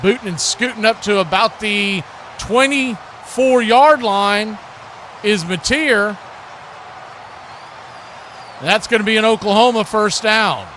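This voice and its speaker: A man's voice: sits high, takes it slowly, long pauses. A man doing live football play-by-play in a folksy way, chatting to viewers between plays. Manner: folksy, excitable on big plays. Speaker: radio play-by-play announcer. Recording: clear background noise.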